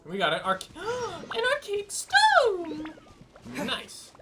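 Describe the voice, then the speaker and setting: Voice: very high voice, huge pitch swings, extremely slow, bright, forceful tone. A game streamer giving casual, offhand, sweary commentary on a playthrough, muttering reactions along the way. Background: video game music.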